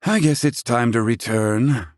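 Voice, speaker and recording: A man's voice: mid-range voice, a little slow, no echo, studio quality, natural voice. An actor playing a proud, sardonic old male scholar and healer in a video game, speaking in formal, archaic phrasing. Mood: wry, relaxed. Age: older. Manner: haughty, formal archaic diction.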